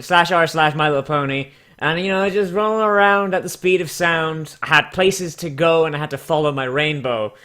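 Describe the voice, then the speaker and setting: Sarcastic bright-voiced man, fairly high pitched. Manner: sarcastic, theatrical, profane. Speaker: YouTube host. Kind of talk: casual comedic commentary and mock dramatic reading.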